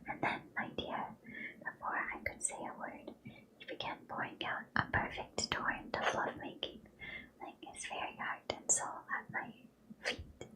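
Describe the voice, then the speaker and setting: A woman's voice: normal speed, low-quality recording. Whispering woman reading a classic novel. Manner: whispered throughout. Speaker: reader.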